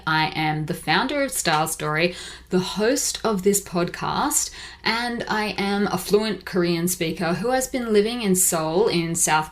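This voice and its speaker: A woman with a slightly low-pitched voice hosts a podcast. She talks in a chatty, upbeat way, with a few ums and uhs along the way. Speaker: podcast host. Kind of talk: casual podcast talk. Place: Seoul.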